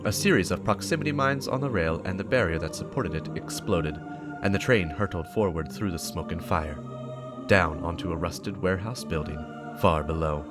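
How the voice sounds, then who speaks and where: A man's voice: deep voice, moderate pace. A man giving a dramatic scripted narration of a story. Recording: music behind the voice. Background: game soundtrack music.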